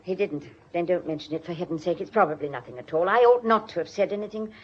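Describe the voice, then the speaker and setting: A woman's voice: on the low side, fast, slightly rough, muffled recording. A woman playing a flustered, discreet secretary in a British radio drama, deferential and fretful, with a British accent. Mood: worried, guarded.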